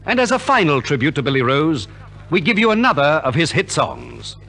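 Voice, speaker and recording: A man's voice: a little high, sing-song, a little fast, sharp tone. A man from Australia narrating as a formal, theatrical compere in 1950s scripted radio. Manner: formal, theatrical announcer delivery. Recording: 1950s radio transcription recording.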